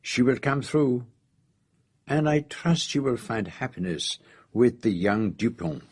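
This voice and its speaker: An actor playing a shrewd, courteous foreign detective in a radio drama, with a Belgian French accent and a precise, measured, self-assured way of explaining. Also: male voice, medium pitch, expressive, a little mellow, breathy edge, dry recording, studio quality. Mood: reflective, kindly.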